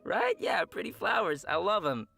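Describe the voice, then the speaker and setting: Man, program host, lively swinging pitch, upbeat scripted read, playful asides, faint music underneath. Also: moderate pace, vocal fry. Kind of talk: reading a scripted event announcement.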